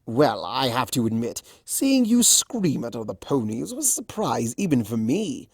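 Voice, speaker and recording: A narrator voicing a flamboyant, teasing male chimera trickster in a fan storybook reading, smug and theatrical with drawn-out emphasis. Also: mid-range voice, big pitch swings, slightly rough, dry recording, clean studio sound. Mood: gleeful.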